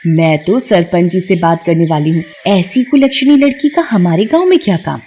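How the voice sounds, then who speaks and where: A woman voicing sharp-tongued, judgmental village gossips in a dramatised moral story, with shrill disapproving exclamations. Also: animated, normal speed, slight background noise, lightly acted. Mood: indignant, judgmental.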